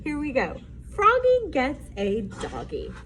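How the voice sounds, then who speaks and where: A woman with a high, very animated voice reads a storybook like a teacher. She takes her time, explaining simply and emphatically, with some noise in the background. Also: slow. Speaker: teacher reading aloud.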